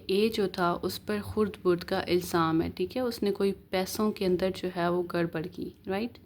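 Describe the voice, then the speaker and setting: A woman's voice: lowish voice, a little mellow. A woman with a Pakistani accent giving an explanatory lecture as a law teacher, in a didactic way with short check-in tags. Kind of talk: explanatory lecture, reading statutes aloud and explaining them.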